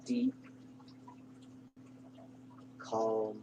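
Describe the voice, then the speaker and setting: Male meditation guide: very slow, mid-pitched, soothing delivery in short phrases, with a clear background hum. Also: big pitch swings, muffled recording. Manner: unhurried, reassuring, pausing between short phrases.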